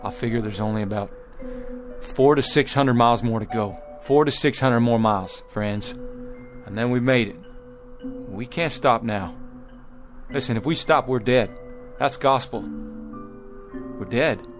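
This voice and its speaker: A male actor as a determined frontier father leading a wagon train, speaking at a middling pitch. His delivery is rustic and emphatic, halting at times as he insists. It is radio drama, with music playing faintly behind him. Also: natural voice. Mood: urgent pleading.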